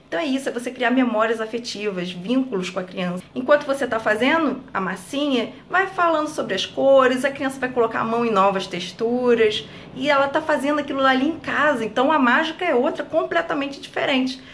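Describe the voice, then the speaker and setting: Young woman, higher-pitched, chatty and encouraging, home kitchen, close camera mic. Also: a little fast, few pauses, a bit bright, slight background noise. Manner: chatty and encouraging, addressing parents directly. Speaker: craft channel host. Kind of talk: casual tutorial vlog. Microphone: camera at close range.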